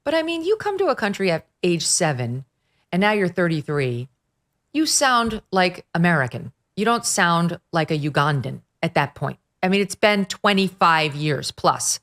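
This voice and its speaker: A woman hosts a political talk show in a lowish voice. She is sarcastic and emphatic, and hits her points hard. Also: sing-song, normal speed, a bit bright. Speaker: host. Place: at the beach.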